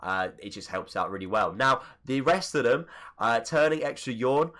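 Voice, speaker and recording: Bright-voiced man, stuffy with a cold. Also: medium pitch, animated, normal speed. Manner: chatty, rambling asides. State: congested from a cold. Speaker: gaming YouTuber. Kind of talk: casual settings tutorial voice-over.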